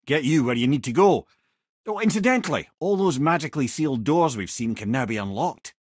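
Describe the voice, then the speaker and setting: Game casting: clever old Scottish advisor, husky voice, very expressive pitch, chatty and wry. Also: male voice, brisk, no echo, clean studio sound. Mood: wry advising. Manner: wry, chatty, wordy storytelling. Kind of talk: video game character dialogue.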